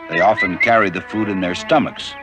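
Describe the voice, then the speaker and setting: A man narrating an educational nature documentary in a measured, informative way. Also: low voice, music in the background. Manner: measured, even, informative delivery. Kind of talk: scripted narration.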